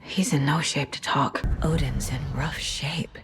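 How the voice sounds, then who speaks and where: A woman's voice: deep voice, steady tone, measured pace, background noise. An actress playing a determined, intense FBI agent, protective of her family, in a horror video game. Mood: anxious, searching.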